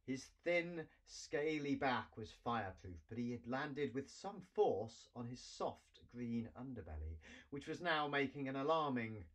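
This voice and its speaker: A man in his forties giving an expressive reading of a children's story, as its author. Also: medium pitch, measured pace. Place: small cluttered room indoors.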